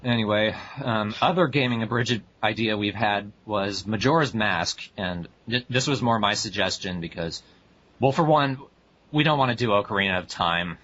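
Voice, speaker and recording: A man's voice: fairly low pitch, moderate pace, a bit bright. A young man co-hosting a casual podcast, laid-back and dry, often laughing along. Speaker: podcast co-host. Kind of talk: casual chat. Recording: dull lo-fi recording, faint noise.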